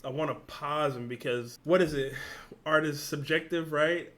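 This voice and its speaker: A man's voice: a little high, moderate pace, a little hiss or hum. Man casually reacting with commentary. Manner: relaxed, swears, thinks aloud with fillers. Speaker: reaction video host.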